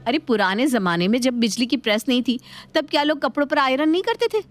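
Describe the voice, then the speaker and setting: A cheeky young Indian daughter-in-law in a cartoon moral tale. Her voice sits a little high and she explains with polite, mock innocence. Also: expressive, fast. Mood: mock-innocent. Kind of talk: Hindi animated moral story acting.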